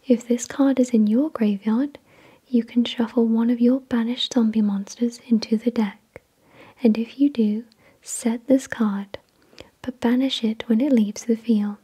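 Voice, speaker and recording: A woman whispering softly and breathily in ASMR style, reading aloud in a deliberate, measured way. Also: a little high, steady tone, husky. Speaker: ASMR video creator and host. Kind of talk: soft-spoken ASMR, reading card text aloud.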